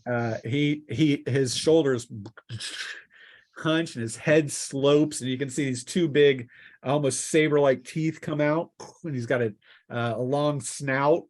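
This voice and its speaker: A man working as game master, narrating and refereeing a tabletop role-playing game in a halting way full of fillers. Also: medium pitch, steady tone, darkish tone.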